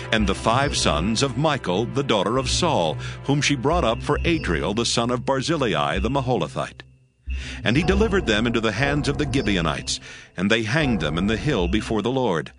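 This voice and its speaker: Male narrator for dramatized scripture, low voice, lively intonation, music under. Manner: measured, expressive storytelling. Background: background music.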